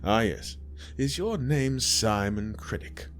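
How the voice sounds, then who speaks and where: A man voicing an aging, haughty gentleman who asks fussy, formal questions in a condescending way. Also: medium pitch, lively intonation, takes it slowly, a little hiss or hum, pitched above the performer's own voice. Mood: prim.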